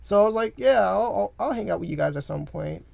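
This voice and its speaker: A young man co-hosting a podcast in a studio, chatting casually in a rambling, animated way with lots of filler words. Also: sits high, fast, gravelly, slight background noise. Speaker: podcast co-host. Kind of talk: casual chat. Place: recording studio. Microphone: studio microphone.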